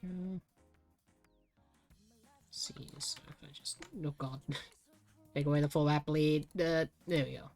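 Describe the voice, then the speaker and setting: A British woman with a notably low voice talks through her tabletop rule-writing at her own pace, hesitant and self-mocking as she tries out one phrasing after another. Faint music plays softly underneath. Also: fairly even intonation, takes it slowly, pauses often. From United Kingdom. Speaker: streamer. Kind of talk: thinking aloud while writing game rules.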